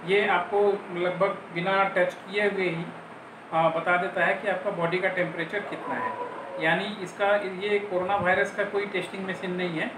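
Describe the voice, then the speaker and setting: A middle-aged man giving halting, explanatory advice to camera, repeating phrases as he goes, as a business video host. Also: high-pitched. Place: small room at home, indoors. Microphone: clip-on lapel mic. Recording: roomy echo, clearly noisy background.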